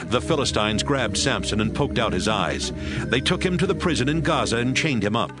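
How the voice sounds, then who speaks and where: A man's voice: medium pitch, animated, moderate pace, over loud music. A man giving scripted narration for a Bible audio drama.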